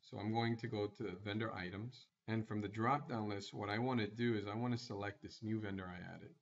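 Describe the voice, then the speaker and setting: A man presenting a screencast tutorial, walking through software setup step by step in a plain instructional manner. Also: on the low side, fairly even intonation, brisk, muffled recording.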